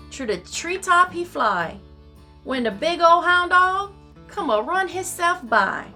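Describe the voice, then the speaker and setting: A woman voicing a folksy, excitable Cajun storyteller, reading rhymed storybook verse theatrically in a singsong dialect. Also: high-pitched, voice pushed up, full character voice.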